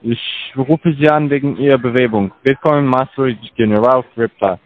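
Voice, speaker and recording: A man's voice: mid-range voice, moderate pace, dry recording. A man cold-calling about crypto trading, speaking with broken grammar. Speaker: crypto scam caller. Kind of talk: phone sales call. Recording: tinny phone line.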